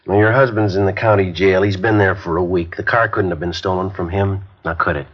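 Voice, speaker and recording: An actor playing a methodical police detective in a radio crime drama, questioning in clipped, flat sentences and narrating the case. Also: male voice, low voice, brisk. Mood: terse. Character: curt, methodical male police sergeant. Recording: dull lo-fi 1950s radio recording.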